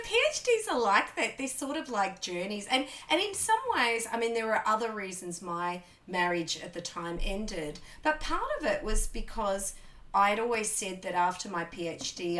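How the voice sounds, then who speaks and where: A woman hosts a podcast. She talks in a chatty, rambling way, full of ums and restarts. Her voice sits at an ordinary middle pitch. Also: expressive, moderate pace, a bit bright. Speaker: podcast host. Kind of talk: casual conversational interview.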